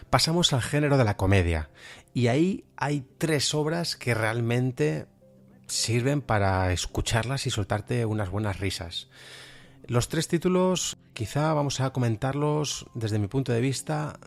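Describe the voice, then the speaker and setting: A man's voice: mid-range voice, gravelly. A man from Spain with a Spanish accent giving an informal, reflective spoken review as a podcast host, a little hesitant.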